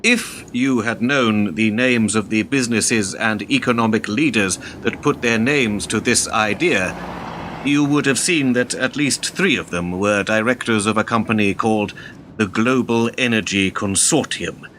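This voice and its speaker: A low, sharp-edged male voice over faint noise, an ageing political fixer in a radio play. He is measured and worldly, a smooth talker with a cynical view of how things work. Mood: cool, matter-of-fact. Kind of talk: radio drama acting.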